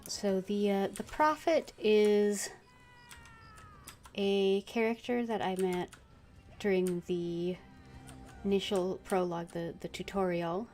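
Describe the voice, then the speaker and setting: A woman giving casual, unscripted commentary as she streams a video game, hesitant and thinking aloud with long pauses. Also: medium pitch, steady tone, a little mellow, soft background music.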